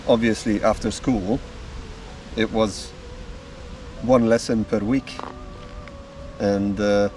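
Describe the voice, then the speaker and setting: Guy in his 30s vlogging from the woods, lowish voice, mic kinda far off. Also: steady tone, darkish tone, slight background noise. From Slovakia. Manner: slow, easygoing storytelling with small pauses. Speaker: drumming vlogger. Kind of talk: casual self-introduction to camera. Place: outdoors in woodland. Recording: distant mic with faint music behind.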